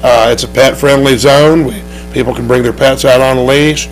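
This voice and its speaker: A man with a hoarse voice and an Oklahoma accent, a race track promoter talking in a TV studio interview, upbeat and rambling with many restarts. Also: mid-range voice, steady tone, normal speed, soft background music, rough recording.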